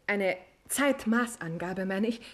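A woman's voice: mid-range voice, slow, close to an everyday voice. An actress playing a sensitive, modest young woman pianist in a 1950s radio play, hesitant and uneasy in manner. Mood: unsettled. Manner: hesitant, self-correcting.

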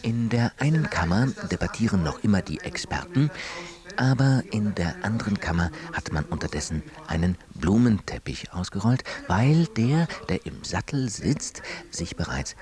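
An actor playing a solemn, excited live commentator at a state ceremony in a radio play, with a husky, hushed voice. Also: male voice, on the low side, moderate pace, crowd noise. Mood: hushed anticipation.

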